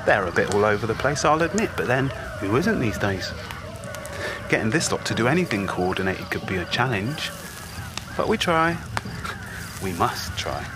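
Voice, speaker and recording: A man's voice: lowish voice, sing-song, moderate pace, pauses often, background noise. A man playing a proud, sentimental magpie in an audio drama, chattering to himself in a theatrical, self-important way. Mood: proud, chatty. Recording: outdoor ambience with bird honks.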